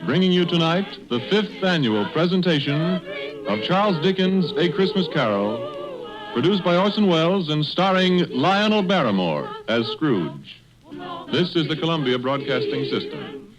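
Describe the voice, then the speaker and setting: A man's voice: fairly high pitch, huge pitch swings, moderate pace, low-quality recording. A man announcing a vintage radio programme in a formal, declamatory style. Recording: vintage radio broadcast with music behind.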